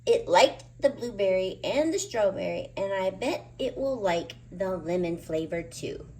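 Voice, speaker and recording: A woman's voice: mid-range voice, lively intonation, a little slow, dark tone. A woman voicing a frustrated, determined young boy in an emphatic, exasperated storybook read-aloud. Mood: musing.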